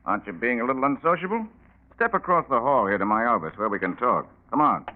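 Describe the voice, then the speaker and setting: An actor playing a wry, sarcastic American police lieutenant in 1940s radio style. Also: male voice, medium pitch, very animated, quick pace, lightly acted. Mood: wry, probing. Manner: dry, sarcastic, probing. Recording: tinny 1940s radio recording.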